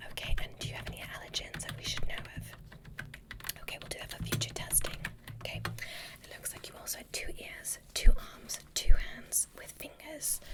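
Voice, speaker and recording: A woman voicing a polite, curious alien doctor in a gentle, breathy ASMR whisper during a medical roleplay. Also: natural voice. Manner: gentle, measured, formal and reassuring. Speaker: ASMR performer.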